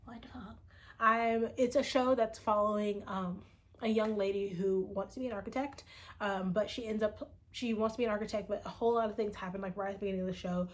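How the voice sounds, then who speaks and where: A woman's voice: medium pitch, steady tone, fairly quick. A woman in her late twenties chatting casually to camera in a personal vlog, rambling and swearing freely, sometimes dropping into a whisper. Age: 20s. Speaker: vlogger.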